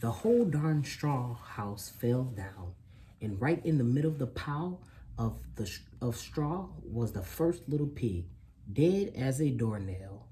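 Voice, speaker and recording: Storybook wolf, played by a reader: self-excusing, mock-innocent narrator with a mellow, soft-edged voice and very animated delivery. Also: medium pitch, unhurried, a little hiss or hum. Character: sly, self-excusing wolf telling his own story. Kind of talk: children's picture book read aloud.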